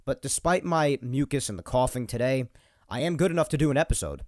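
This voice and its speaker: A man's voice: medium pitch, animated, a little fast, dry recording, studio quality. A young man from New York hosting his solo podcast. He sounds a little nasal and congested from a cold, rambles, repeats himself and laughs between points. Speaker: podcast host. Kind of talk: casual solo podcast monologue. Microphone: podcast microphone.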